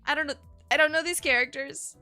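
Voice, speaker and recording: A woman's voice: high-pitched, fairly even intonation, brisk, sharp tone, soft background music. A woman streamer chatting casually with her audience while sick, nasal and sniffly, rambling and swearing. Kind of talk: casual live-stream chat.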